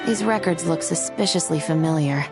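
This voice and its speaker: A woman's voice: deep voice, normal speed, close to an everyday voice. A woman playing a businesslike, decisive law officer in a video game, with a matter-of-fact manner. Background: music.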